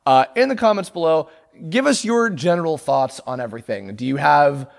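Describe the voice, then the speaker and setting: A man's voice: fairly high pitch, expressive, moderate pace, gravelly. A man hosting a casual fan podcast, talking in a rambling way with many restarts and fillers, and joking with his guest. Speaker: podcast host.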